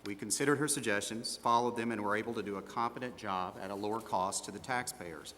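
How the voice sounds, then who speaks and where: Man acting as low-voiced defensive auditor, echoing hall. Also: fairly bright tone, slight background noise, lightly acted. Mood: measured, defensive. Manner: measured, hesitant, formal. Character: defensive male auditor questioned at disciplinary hearing. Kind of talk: amateur dramatization read on stage. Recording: echoey hall, long reverb.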